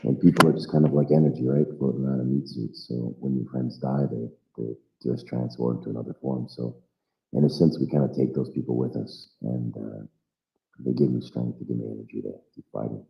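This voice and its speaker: This is a man from the United States speaking in an extremely deep register with a thick, gravelly fry. His manner is earnest and reflective, with a blunt edge and the occasional swear word. The sound is echoey and muffled, like a lo-fi online audio room. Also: steady tone, brisk. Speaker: volunteer fighter in Ukraine. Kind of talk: reflective talk in a live audio chat.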